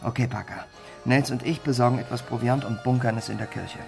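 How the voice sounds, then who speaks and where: An actor playing a wry, matter-of-fact armed robber planning a heist in a German radio crime drama. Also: male voice, mid-range voice, steady tone, fairly quick, gravelly, over music, lightly acted. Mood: irritated, brisk.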